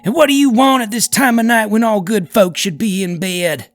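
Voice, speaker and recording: Man putting on high, hollering old backwoods man. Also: moderate pace, dry recording, faint music behind, lightly acted. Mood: alarmed, demanding. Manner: high, strained, loud hollering. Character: frightened old backwoods man living alone with dogs.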